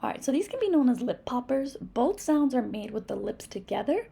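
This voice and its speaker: A patient, encouraging teacher with a New England accent and a Spanish-speaking background walks learners through speech sounds one at a time. Her voice is mellow and a little breathy. She slows down to model each sound clearly. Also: a little high, very animated. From Massachusetts. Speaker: reading teacher. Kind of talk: instructional video lesson.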